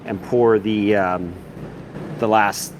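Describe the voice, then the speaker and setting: Low-voiced, joking middle-aged man. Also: steady tone, slow, a little hiss or hum. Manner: jokey, teasing, matter-of-fact. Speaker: woodworking video maker. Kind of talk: casual workshop narration. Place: woodworking shop.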